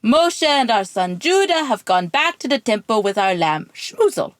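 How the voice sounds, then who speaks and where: A woman playing a calm biblical mother telling a story in an audio drama. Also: a little high, normal speed, no echo, studio quality. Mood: explanatory. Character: biblical mother calmly explaining a feast.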